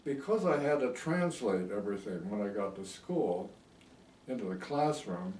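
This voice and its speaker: A man in his eighties with an American accent, reminiscing in a halting, rambling way in a casual interview. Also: on the low side, expressive, darkish tone, a little hiss or hum. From United States. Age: 80s. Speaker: oral history interviewee. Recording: echoey room, distant microphone.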